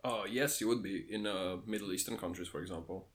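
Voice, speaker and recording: A man co-hosting a casual podcast, his voice sitting a little low. He lays out his points in a dry, matter-of-fact way and breaks into laughter now and then. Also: a little fast. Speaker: podcast co-host.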